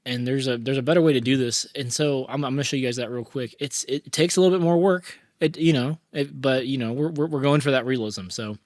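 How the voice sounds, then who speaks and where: Guy with a cold, kinda hoarse, chatty tutorial host, lots of um and uh, medium pitch, dry sound. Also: fast talker, few pauses, a little mellow, no room sound. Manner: chatty, many fillers and restarts. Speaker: mixing engineer tutorial host. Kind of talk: casual screen-recorded tutorial.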